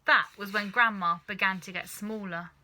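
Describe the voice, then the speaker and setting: A woman narrates a children's story with animated, dramatic expression. Her voice is very bright and forceful, at a medium pitch. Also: moderate pace. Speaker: storybook reader.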